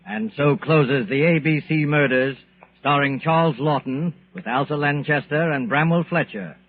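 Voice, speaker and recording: A man's voice: fairly high pitch, moderate pace. A man narrating scripted closing credits in a 1940s radio announcing style. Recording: narrow-band old radio recording. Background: music.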